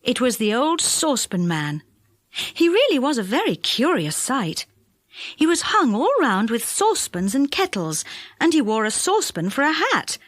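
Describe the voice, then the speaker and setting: Female children's audiobook narrator: high voice, expressive, very varied pitch, dry close sound. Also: moderate pace, dry recording. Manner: expressive, animated storytelling. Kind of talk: scripted children's story narration.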